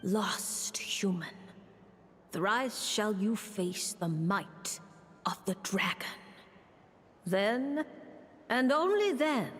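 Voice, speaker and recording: A mysterious prophetic being from a video game, solemn and cryptic. The words come extremely slowly and with portent, rising and falling widely inside a long reverb. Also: female voice, mid-range voice. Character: cryptic prophetic creature delivering a solemn warning. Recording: long reverberant echo, distant voice.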